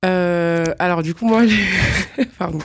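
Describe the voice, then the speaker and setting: Young woman with a low voice, hesitant. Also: slow pace. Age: young adult. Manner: hesitant, many fillers and restarts. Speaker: student interviewee. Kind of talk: casual interview answers.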